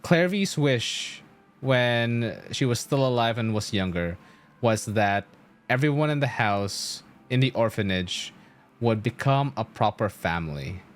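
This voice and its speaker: A man's voice: mid-range voice, expressive, measured pace. A young man streaming a game, explaining its story in a casual, rambling way with emphatic storytelling and loose swearing.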